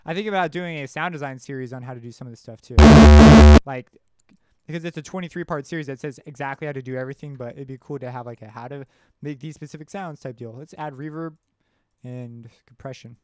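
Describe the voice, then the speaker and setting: A man presenting a software tutorial in an informal, offhand way, thinking aloud as he works. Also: medium pitch, fast talker. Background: synth music and instrument sounds.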